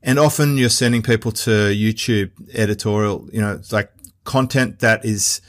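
A man's voice: lowish voice, fairly even intonation, normal speed, fairly bright tone, dry recording, clean studio sound. A man in his forties with an Australian accent, hosting a conversational interview. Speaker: podcast host, interviewer. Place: small foam-treated recording booth.

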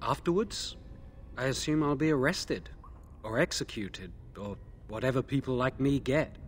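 An actor playing a remorseful, timid imprisoned mage in a video game, speaking in a hesitant, earnest way. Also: male voice, medium pitch, moderate pace, slight background noise, lightly acted. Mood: remorseful, pleading.

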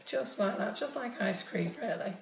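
Woman, 50s, cake decorating tutor, chatty and direct, slight cold squeak; roomy kitchen, distant phone mic. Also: lively intonation, moderate pace, vocal fry, slight background noise. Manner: chatty, instructive, addresses viewers directly. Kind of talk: live-streamed baking demonstration. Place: home kitchen, indoors. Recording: echoey kitchen, voice often off-mic.

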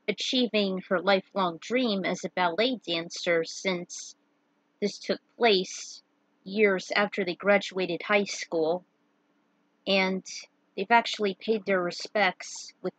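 A woman hosts a homemade story reading, moving between casual recap and narration. Her pitch is average for a woman, and the sound is dry with hardly any room to it. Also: fairly bright tone, no room sound, poor audio. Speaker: host reading own fan fiction aloud.

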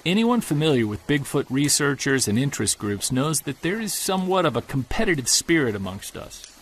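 An actor playing a weary middle-aged Bigfoot investigator, narrating an audio diary in an earnest, confessional way. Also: male voice, a little high, sing-song, a little fast, slight background noise. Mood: troubled. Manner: confessional, earnest, quietly troubled. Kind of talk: scripted audio drama narration.

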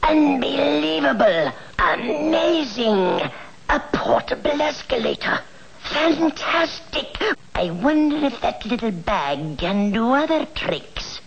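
An actor playing a scheming, covetous old villain professor in a vintage cartoon, muttering and stammering. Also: male voice, very high-pitched, big pitch swings, takes it slowly, gravelly, highs cut off, a crowd in the background, heavily acted. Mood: astonished. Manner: stammering, muttering, gloating cackle.

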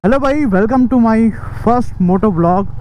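A man's voice: high voice, drawn-out words, darkish tone, highs cut off. A young man talking casually to viewers while riding a motorcycle through city traffic, chatting and calling them brother. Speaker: motovlogger. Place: on a motorcycle on a busy city road. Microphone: helmet mic on a moving motorbike.

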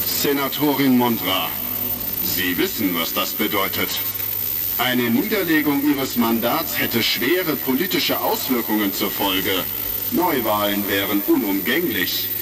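A man's voice: mid-range voice, lively intonation, measured pace. A man playing a formal, courteous senate chairman in a retro sci-fi audio drama. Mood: concerned. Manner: formal, ceremonious. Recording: crackly vintage vinyl-style recording, distant.

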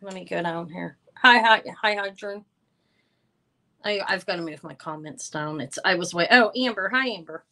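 Loud, bright-voiced woman hosting a live sale. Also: lowish voice, normal speed. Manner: chatty, rambling, loud voice. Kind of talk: casual live-stream chat greeting viewers.

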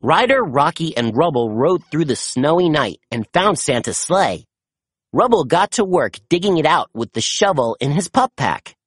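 A storybook narrator reading aloud to children in an expressive, animated way with dramatic emphasis. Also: medium pitch, moderate pace, slightly rough, no room sound.

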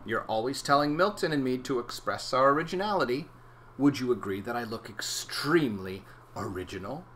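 A man's voice: mid-range voice, expressive, a little fast, a bit bright, lightly acted. Storybook schoolgirl heroine, played by a man: earnest, emphatic, grand, with faint noise behind. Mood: proudly earnest.

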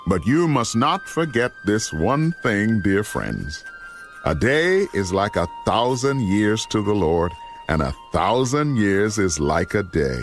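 Grave apostle warning his flock, lowish voice, oratorical, deliberate, dramatized scripture, faint music underneath. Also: very animated, full character voice. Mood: solemn, exhorting.

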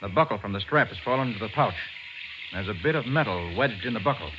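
An American actor playing a shrewd, confident detective in a 1940s radio drama, crisp, urgent and decisive. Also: male voice, fairly low pitch, expressive, fast, natural voice. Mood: grave, urgent. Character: brisk, shrewd, confident male detective hero. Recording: muffled, hissy 1940s radio recording.

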